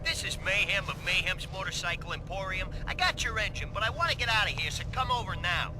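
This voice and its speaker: An actor playing a brash, taunting motorcycle parts dealer in a video game. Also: male voice, high-pitched, sing-song, quick pace, background noise. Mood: gruff, impatient. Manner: cocky, taunting.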